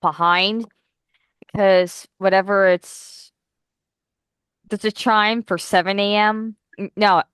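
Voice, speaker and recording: Nasal woman with a cold hosting a podcast, slow easy talk, dry close mic. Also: mid-range voice, fairly even intonation, a bit bright, clear, resonant tone, dry recording. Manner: chatty, giggly, self-correcting. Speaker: podcast host. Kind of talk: casual chat.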